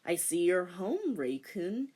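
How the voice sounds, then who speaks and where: A woman's voice: lowish voice, big pitch swings, slow pace, warm tone, breathy edge, full character voice. A woman voicing an intense, reserved and jealous boyfriend in a comic fan-fiction reading. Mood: quietly jealous. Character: intense, quietly jealous male live-in boyfriend.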